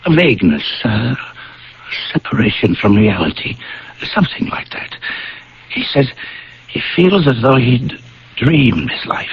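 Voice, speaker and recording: A man's voice: medium pitch, husky, full character voice. An actor playing an earnest, troubled friend, with dramatic, hesitant delivery in a radio mystery play that he also narrates. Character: earnest, troubled male friend recounting eerie events. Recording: dull, noisy vintage radio broadcast.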